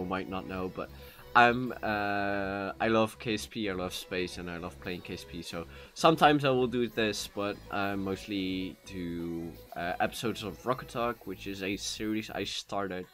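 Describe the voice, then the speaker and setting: A Dutch man with a Dutch accent, chatting casually while live-streaming a game, rambling with many fillers and restarts into a cheap USB mic. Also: fairly low pitch. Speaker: gaming streamer. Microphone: cheap USB microphone. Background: soft background music.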